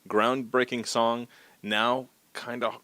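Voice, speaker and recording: A man interviewing in a casual, conversational way, animated about his subject and often restarting his sentences. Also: mid-range voice, takes it slowly, no echo.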